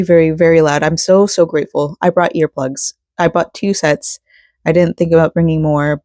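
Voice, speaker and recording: An American woman in her thirties chats in a rambling, personal vlog style. Her voice is low, soft-edged and mellow, with a hoarse rasp. The sound is dry, with no room echo. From Florida, United States. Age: 30s. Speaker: vlogger.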